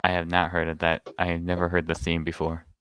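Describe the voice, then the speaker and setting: A man's voice: low voice, monotone, quick pace, background noise. A man chatting casually with dry joking asides as a co-commentator on a game stream.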